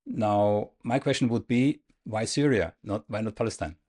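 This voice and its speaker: Man, interview host, fairly low voice, earnest, emphatic. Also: normal speed, a little mellow. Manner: earnest, emphatic channel appeal. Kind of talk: political interview. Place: in the Netherlands.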